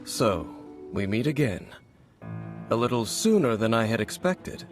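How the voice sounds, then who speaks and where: A man voicing a serious, reserved, mysterious swordsman in a video game, speaking in a measured, formal, stoic manner. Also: medium pitch, very animated. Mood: grave, composed. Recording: clear music behind. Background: game music.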